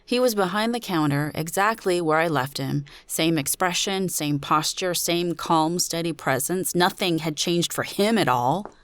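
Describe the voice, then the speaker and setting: A woman with a low voice gives a dramatic reading of a suspense story. She narrates in the first person as the storyteller. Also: a little slow, hardly any pauses, no echo.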